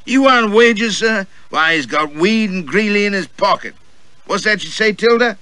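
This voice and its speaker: A man voicing a loud, boorish, portly older gentleman who drawls, boasts and guffaws. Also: sits high, lively intonation, normal speed, dry recording, voice pushed up. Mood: blustering.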